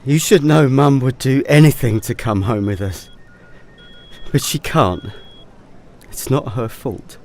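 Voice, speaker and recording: A man playing a weary, gentle father in an audio drama, speaking in a subdued way over faint noise. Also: medium pitch, measured pace, gravelly. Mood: firm.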